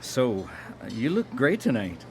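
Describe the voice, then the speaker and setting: Actor as awkward male dater, middle pitch, very lively intonation, stammering, defensive, radio drama. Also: unhurried, creaky, a little hiss or hum, exaggerated voice. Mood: nervous.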